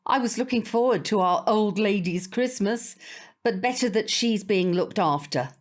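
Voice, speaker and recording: An actress playing a fussy, proud British grandmother in an audio drama, with a brisk, complaining manner. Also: medium pitch, lightly acted. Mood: putting on cheer. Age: older. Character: fussy, proud elderly grandmother, stubbornly independent.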